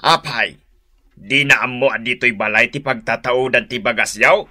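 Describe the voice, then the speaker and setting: A man's voice: mid-range voice, moderate pace, pushed and bright. A man playing a blunt, grumbling older father-in-law in a comedy radio drama. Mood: indignant.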